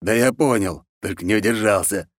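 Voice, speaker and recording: A man voicing a sly, calm wolf servant with a dry teasing manner in an audiobook. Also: fairly low pitch, normal speed, no room sound, close to an everyday voice. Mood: wry. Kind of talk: audiobook character voice.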